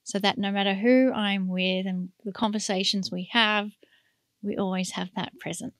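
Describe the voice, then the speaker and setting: A middle-aged woman speaking as an interview guest, earnest and reflective in a soft-spoken way. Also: medium pitch, steady tone, normal speed, no echo, studio quality. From born to Hungarian parents. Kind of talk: casual interview talk.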